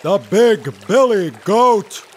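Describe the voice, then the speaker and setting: An actor playing the biggest billy goat, bold and booming, in a storybook audio play. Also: male voice, very animated, slow, slight background noise, exaggerated voice. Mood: defiant. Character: big, brave male billy goat.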